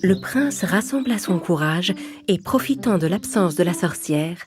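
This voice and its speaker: A woman narrates a children's fairy tale as a storyteller. Her voice sits slightly low, with clear music playing behind it. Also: lively intonation, unhurried.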